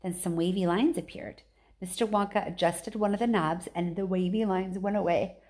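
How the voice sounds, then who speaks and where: A woman's voice: on the low side, fairly even intonation, normal speed, a little mellow. A woman reading a children's book aloud like a teacher, expressively, with casual chatty asides.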